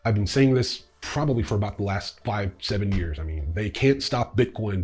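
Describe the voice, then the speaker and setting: Male newsreel host: fairly deep, distinctly husky delivery, reading prepared stories with informal, opinionated commentary. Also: darkish tone. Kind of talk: scripted news narration with casual opinionated asides.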